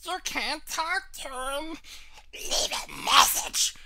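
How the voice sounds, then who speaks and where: Wretched little fantasy creature, obsessive and sneering, high strangled rasp, creaky. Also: male voice, sing-song, slow, very noisy, full character voice. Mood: gleeful, spiteful. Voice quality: raspy, strangled.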